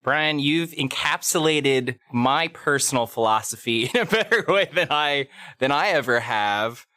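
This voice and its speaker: A man's voice: mid-range voice, expressive, a little slow, bright, forceful tone, no echo, clean studio sound. A man co-hosting a podcast in casual chat, enthusiastic and self-deprecating. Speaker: podcast co-host.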